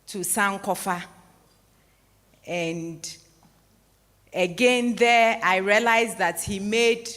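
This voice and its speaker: A woman in her forties from Ghana, moderating a public lecture in a large hall, summing up the talk in a measured, formal way before opening the floor. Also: fairly low pitch, fairly bright tone. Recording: echoey hall with long reverb.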